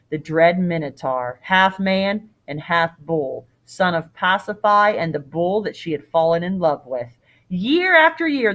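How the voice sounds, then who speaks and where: Woman reading a story with lively expression. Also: on the low side. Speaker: teacher reading aloud. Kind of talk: expressive story read-aloud with casual asides.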